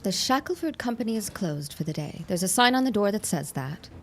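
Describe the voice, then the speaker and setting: A woman's voice: medium pitch, lively intonation, fairly quick, noisy background, natural voice. Woman playing a curt, sardonic 1910s office secretary. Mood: curt, dismissive. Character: Helen Kidwell, brusque flirtatious 1910s office secretary, world-weary. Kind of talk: period audio drama acting.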